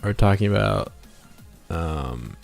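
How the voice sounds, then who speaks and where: A man's voice: sits low, animated, slow pace, vocal fry, darkish tone, faint music behind. A man hosting a casual game developer livestream, rambling playfully, talking to himself and to viewers as he works. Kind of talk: casual livestream chat while drawing, reading out viewer comments.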